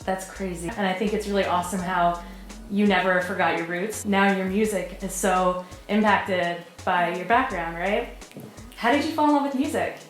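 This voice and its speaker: A woman's voice: mid-range voice, normal speed. A woman hosting an interview, bubbly and enthusiastic, chatting freely with her guest. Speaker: host. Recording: echoey room, faint music behind.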